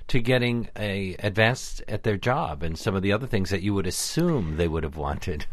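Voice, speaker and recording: A man's voice: low-pitched, animated, normal speed, dry recording. A middle-aged man hosting a radio interview, polished and conversational. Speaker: radio host.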